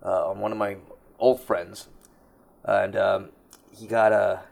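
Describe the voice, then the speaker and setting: A man born in South Korea, a tattoo artist guest, talking in a modest, laid-back, halting way and laughing often. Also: on the low side, level tone, vocal fry, a little mellow. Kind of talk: casual interview chat.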